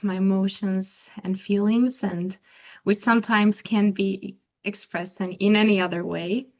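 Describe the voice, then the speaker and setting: A young woman from Croatia, a native Croatian speaker, answering interview questions softly and carefully, with frequent ums and restarts. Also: mid-range voice, gravelly, dull audio. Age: young adult. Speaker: interview guest, pianist.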